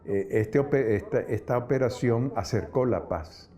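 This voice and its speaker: A man giving analytical commentary in an interview, halting and restarting his phrases. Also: medium pitch.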